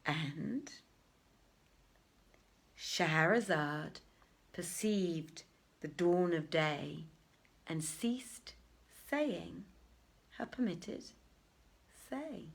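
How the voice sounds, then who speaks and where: A woman reading a literary tale aloud as a storyteller, theatrical and deliberate, with dramatic pauses and swells. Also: on the low side, animated, creaky, husky. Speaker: storyteller, reader.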